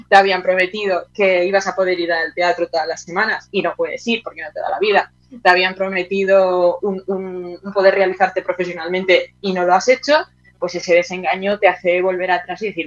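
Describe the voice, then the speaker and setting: A woman's voice: on the low side, fairly bright tone. Woman from Spain, colloquial, slightly lo-fi sound. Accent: Spanish from Spain. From Teruel, Spain. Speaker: interview guest, playwright. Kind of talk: casual interview talk.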